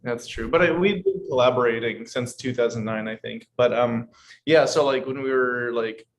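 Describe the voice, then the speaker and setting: A man's voice: medium pitch, normal speed, slight background noise. A man in his twenties from Portland, a band's guitarist, chatting easygoingly on a video call with many filler words. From Portland, Oregon. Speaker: guitarist in a band, guest. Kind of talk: casual interview chat.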